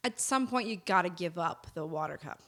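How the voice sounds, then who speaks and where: A woman's voice: lowish voice, expressive, fairly quick, fairly bright tone. Female podcast co-host, casual and teasing, dry jokes, home studio set. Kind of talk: casual chat.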